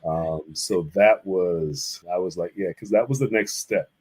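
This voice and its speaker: An American man chatting casually as an interview guest, enthusiastic and quick to laugh. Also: sits low, a little mellow, breathy edge. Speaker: visual artist, interview guest.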